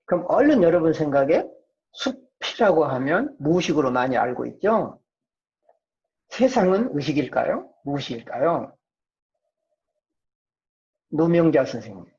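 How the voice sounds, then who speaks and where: A man's voice: on the high side, sing-song, a little slow, pauses often, darkish tone. A man giving an informal psychology lecture, explaining conversationally and often answering his own questions. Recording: dull, muffled lo-fi audio.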